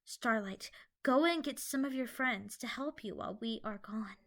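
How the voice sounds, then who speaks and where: A woman voicing a solemn, composed ruling pony princess with a measured, formal manner in a fan audio drama. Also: on the high side, fairly bright tone. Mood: resolute.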